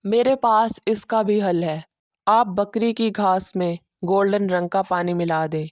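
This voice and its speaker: Woman as sly lazy young cobbler guy in a cartoon, glib, mellow and dry. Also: medium pitch, steady tone, a little slow, dry recording, pitched below the performer's own voice, lightly acted. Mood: pleading, sly. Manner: glib, persuasive. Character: scheming young male cobbler, lazy and cunning.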